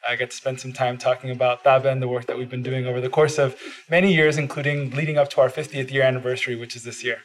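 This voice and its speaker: A man's voice: mid-range voice, little pitch movement, fast. A man whose first language is Spanish, talking earnestly as a podcast guest in a studio, with frequent "uh" fillers. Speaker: podcast guest, bilingual education advocate. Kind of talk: casual interview talk.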